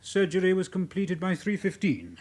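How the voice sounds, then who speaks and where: Formal, measured British hospital doctor. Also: male voice, high-pitched, sing-song, darkish tone, slightly rough, full character voice. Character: reserved, formal hospital registrar briefing police. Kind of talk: radio drama acting.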